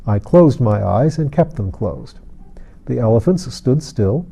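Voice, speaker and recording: Very mellow-voiced male audiobook narrator. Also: medium pitch, expressive, a little slow, vocal fry, husky. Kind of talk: scripted narration of a fantasy novel.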